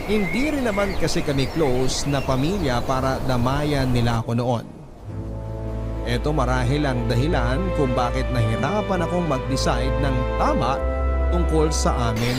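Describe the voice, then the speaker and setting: A man narrating a scripted first-person love story for a radio drama. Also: mid-range voice. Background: loud music.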